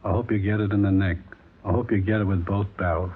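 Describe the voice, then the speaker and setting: Bitter betrayed pal, very low. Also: male voice, steady tone, quick pace, husky. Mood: bitter, accusing. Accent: American. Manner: accusing, sardonic, slangy. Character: bitter, betrayed working man confronting his friend. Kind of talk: 1950s radio drama acting. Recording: muffled, noisy vintage radio recording.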